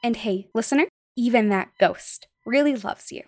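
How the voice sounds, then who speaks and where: A woman's voice: a little high, moderate pace, faint music behind. A woman co-hosting a podcast in a playful, teasing, chatty way. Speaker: podcast co-host. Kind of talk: chatty scripted segment.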